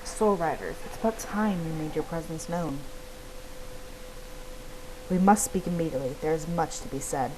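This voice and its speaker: An ancient, wise fantasy-game guardian as played by a woman, grave and formal. The voice is measured and on the low side, with clear noise in the recording. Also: close to an everyday voice. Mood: urgent, grave.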